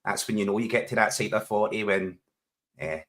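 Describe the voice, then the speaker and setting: A man in his forties chatting on a podcast call, matey and quick to laugh. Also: lowish voice, fairly bright tone. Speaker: podcast co-host. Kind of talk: casual chat. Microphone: home microphone on a video call.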